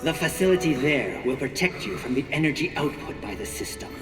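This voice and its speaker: An actor voicing a lofty, propagandistic corporate leader making a grand speech to colonists in a video game. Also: male voice, fairly high pitch, expressive, brisk, far from the mic, close to an everyday voice. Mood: lofty, assured. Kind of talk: scripted video game acting, broadcast speech. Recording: echoey hall reverb, music behind, from afar.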